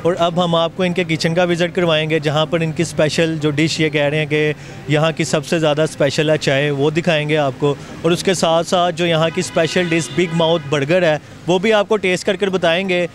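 A man's voice: a little high, flat delivery, normal speed, soft background music. A man with a Pakistani accent presenting and interviewing on location as a food show host, speaking into a handheld microphone. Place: outdoor restaurant at night. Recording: clear street and traffic noise.

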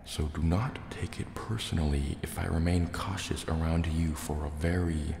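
An extremely low, dark-toned sea serpent dragon , played by a man, echoes through a cave. He is huge, proud and wary, murmuring soft threats with deliberate care in an ASMR roleplay. Faint water ambience sits behind the long reverb. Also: fairly even intonation, creaky, slight background noise. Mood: wary, threatening. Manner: slow, deliberate, threatening yet hesitant. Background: water sounds and cave ambience.

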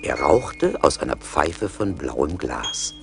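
A man's voice: a bass voice, very animated, takes it slowly, creaky. A man narrating a fairy tale dramatically, in the manner of a 1980s radio play record. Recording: music behind the voice.

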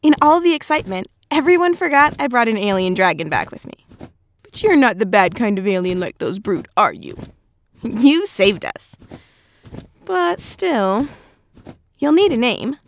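A chirpy teenage girl, voiced by a woman, her voice high and bouncing. A faint clicking noise can be heard behind her. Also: big pitch swings, a little slow. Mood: cheerful.

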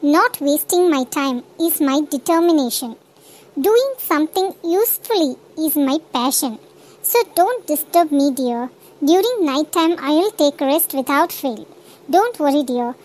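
High-voiced earnest young housewife, acted by a woman. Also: animated, a little slow, a little mellow, rough recording. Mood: determined. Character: earnest, determined young housewife in a cartoon story. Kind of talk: scripted cartoon story dialogue.

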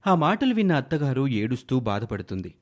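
A man's voice: medium pitch, very animated, a little fast. A man narrating a scripted moral story in a dramatic storytelling style.

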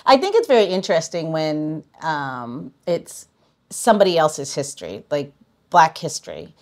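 A woman's voice: low voice, big pitch swings, normal speed, darkish tone. A woman hosting a podcast, chatting casually in an effusive, rambling way. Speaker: podcast host. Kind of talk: casual interview chat.

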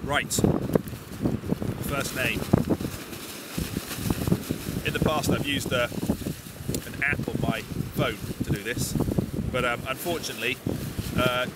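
A man in his forties, from England, chatting casually outdoors on a beach, relaxed with lots of ums and uhs. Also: medium pitch. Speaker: bushcraft vlogger. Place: outdoors on a sunny beach, windy. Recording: loud wind and sea noise.

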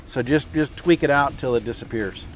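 A man's voice: mid-range voice, fairly quick. An older man, a boat captain, giving casual instructional boating tips outdoors on a lapel mic. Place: outdoors at a marina by a parking lot. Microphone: clip-on lapel mic.

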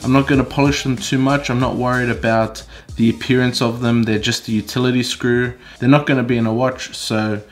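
Young man, congested, relaxed explaining, roomy workshop sound, camera mic at desk distance. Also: medium pitch, brisk, hardly any pauses. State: congested from a cold. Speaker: hobby watchmaker vlogger. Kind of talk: casual workshop vlog. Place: home workshop, indoors.

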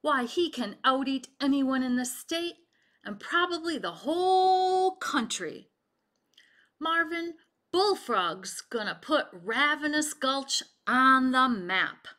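A stern, folksy Old West sheriff from a storybook, acted out by a woman. The delivery is slow and deliberate, with a bright, firm tone that keeps calm command. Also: extremely high pitch, pitched above the performer's own voice, heavily acted. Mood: persuasive.

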